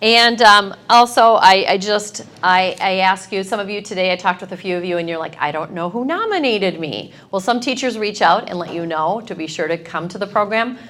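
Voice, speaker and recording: A woman's voice: medium pitch, expressive, brisk, hardly any pauses, fairly bright tone, slight background noise. Middle-aged woman principal, upbeat and direct, projecting to a big room of students on a lapel mic. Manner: upbeat, direct, speaks up to a crowd. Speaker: high school principal. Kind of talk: addressing a student assembly. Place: large school cafeteria with students.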